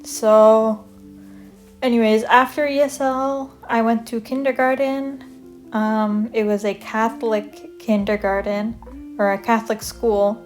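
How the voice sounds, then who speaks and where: A woman's voice: a little high, steady tone, a little slow. A young woman from Canada chatting casually as she draws, rambling with lots of fillers and self-corrections. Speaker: art vlogger. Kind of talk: casual chat over a draw-with-me video. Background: soft guitar music.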